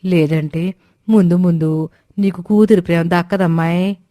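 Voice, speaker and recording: A woman's voice: on the low side, fairly even intonation, moderate pace, warm tone, no echo, clean studio sound, natural voice. A woman acting a meddling, judgmental village gossip in a moral story. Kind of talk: acted moral-story dialogue.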